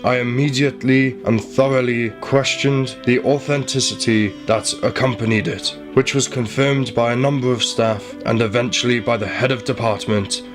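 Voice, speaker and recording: A man's voice: medium pitch, fairly even intonation, normal speed, few pauses, natural voice. An actor playing an earnest male investigator in a radio play, speaking formally about a tragic case. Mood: sombre, factual. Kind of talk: radio play acting and scripted narration. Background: music.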